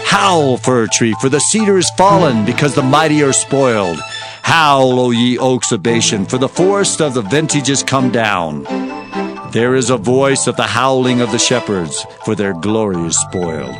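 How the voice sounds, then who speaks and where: An actor playing a fervent biblical prophet, declaiming solemn oracles in a dramatized scripture reading. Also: male voice, medium pitch, expressive, moderate pace, close to an everyday voice. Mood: lamenting, urgent. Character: fervent male Old Testament prophet proclaiming oracles. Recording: music behind the voice.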